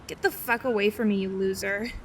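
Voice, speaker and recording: A woman's voice: medium pitch, steady tone, a little fast, soft background music, natural voice. A woman playing a hostile, foul-mouthed teenage schoolgirl in a comic dub, cutting and scornful. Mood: angry disgust. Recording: distant mic, faint background noise.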